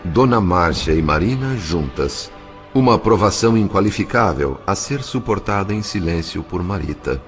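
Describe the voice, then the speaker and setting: A man with a Brazilian accent narrating a scripted dramatic novel with measured, literary, expressive phrasing. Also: low-pitched. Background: faint music.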